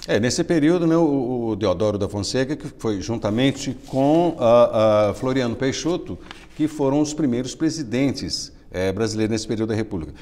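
A middle-aged man hosting a TV studio interview, asking hesitant, halting questions. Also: mid-range voice, expressive, a little mellow, big-room sound. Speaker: interviewer host. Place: television studio. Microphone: lapel mic.

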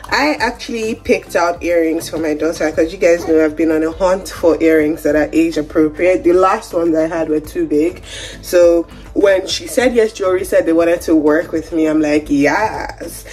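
A woman's voice: fairly low pitch, darkish tone. A young woman, chatting upbeat to viewers in a casual vlog from her kitchen. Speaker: vlogger. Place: home kitchen indoors. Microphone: phone camera held close.

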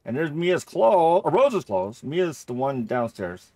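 A man's voice: fairly high pitch, big pitch swings, measured pace, a little mellow, no echo. A middle-aged man giving casual, rambling, easily amused commentary as he plays a game. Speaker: gamer playing through a game.

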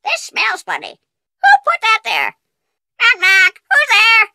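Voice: wildly swooping pitch, takes it slowly, gravelly, bright, forceful tone, no echo, clean studio sound, heavily acted. An actor voicing a cheeky, bossy pet parrot in a video game, squawking out mimicked human phrases. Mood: cheeky mimicry. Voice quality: squawky, shrill. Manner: mimicking, exclaiming in short repeated phrases. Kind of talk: video game character acting.

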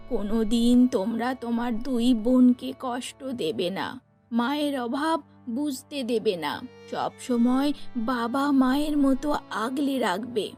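A woman voicing a frail, dying, loving mother in a cartoon, speaking weakly in slow, halting pleas. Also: fairly high pitch, a little mellow. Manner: weak, slow, halting, pleading.

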